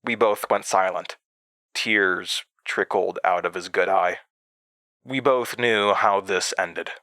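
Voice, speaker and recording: A haunted man narrating a horror audio drama. He speaks slowly in a lowish, tense voice, grave and deliberate, with dramatic pauses. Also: fairly even intonation, sharp tone, dry recording, full character voice. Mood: grave recollection. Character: haunted man recalling his horrifying past. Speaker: narrator and protagonist.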